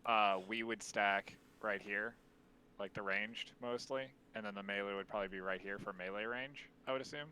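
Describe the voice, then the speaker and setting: Streamer leading a raid, deep voice held soft, bright edge, casual fillers. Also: male voice, steady tone, moderate pace, slight background noise. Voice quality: deep voice, speaks quietly on purpose. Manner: explaining, loose with many fillers. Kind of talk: casual gaming voice chat.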